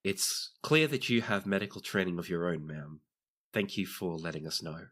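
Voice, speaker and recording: A man playing a calm, courteous doctor in a tabletop game, speaking in a measured, formal, reassuring way. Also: sits low, heavily acted. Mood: formal sympathy. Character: calm, courteous hospital doctor tending crash survivors.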